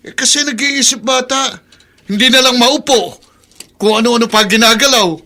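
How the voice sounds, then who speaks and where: A man's voice: very high-pitched, normal speed, sharp tone. A man playing an irritable, scolding adult son with a Filipino accent in a radio drama. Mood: exasperated.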